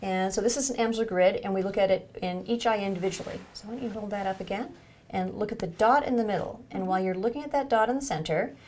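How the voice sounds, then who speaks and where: A woman's voice: mid-range voice, fast talker, a little mellow, slight background noise. A woman clinician giving an instructional demonstration of an eye exam, encouraging the patient as she explains each step.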